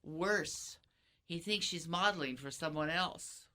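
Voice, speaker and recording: A woman playing a matter-of-fact police partner in a parody radio drama, giving a briefing in clipped, deadpan lines. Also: sits low, slow pace, exaggerated voice. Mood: businesslike.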